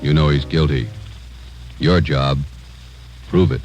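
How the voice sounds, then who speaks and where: Male 1950s radio narrator, bottomless bass pitch, solemn and deliberate, over clear music. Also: level tone, low-quality recording. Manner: grave, deliberate. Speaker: radio drama announcer.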